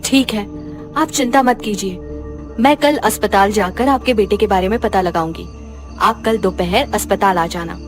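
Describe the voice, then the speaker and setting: A woman's voice: medium pitch, moderate pace, faint music behind, natural voice. A woman playing a caring but determined female doctor, reassuring and firmly questioning.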